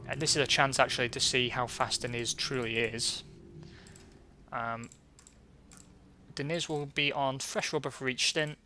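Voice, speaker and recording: A man casually commentating a racing management game as a let's play host, his voice going hoarse and clearing his throat now and then. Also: medium pitch, measured pace, pauses often, slight background noise. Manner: relaxed and chatty, reading out results.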